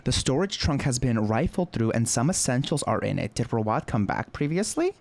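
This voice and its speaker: A man's voice: medium pitch, big pitch swings, brisk, a little mellow, no room sound. A young man casually commenting while playing a story game, reading prompts aloud with wry asides. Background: game music.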